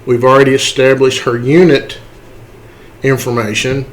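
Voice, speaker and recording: A man giving an unscripted software tutorial, halting and step-by-step, often checking in with a questioning okay. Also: mid-range voice, flat delivery, poor audio. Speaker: tutorial host.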